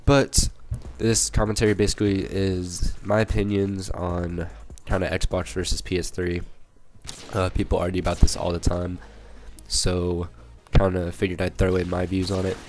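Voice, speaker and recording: A young man with a cold, hoarse and a little raspy, rambling through casual gameplay commentary with many fillers and restarts. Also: deep voice, completely flat delivery, moderate pace, a little mellow, slight background noise. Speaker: gaming channel commentator.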